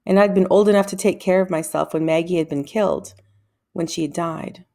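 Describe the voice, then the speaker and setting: A woman's voice: on the low side, fairly even intonation, brisk, mellow. A woman reading a children's novel aloud as a scripted storybook narrator.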